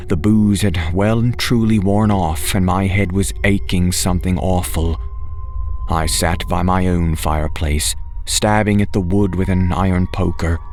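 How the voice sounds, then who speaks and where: A man with a low-pitched voice reads a horror story as an audiobook narrator. His delivery is unhurried, measured and dramatic, over clearly audible background music. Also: a little mellow. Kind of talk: scripted first-person horror narration.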